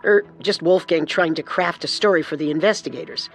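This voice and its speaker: A woman co-narrating a scripted true-crime story with measured, dramatic delivery. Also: low voice.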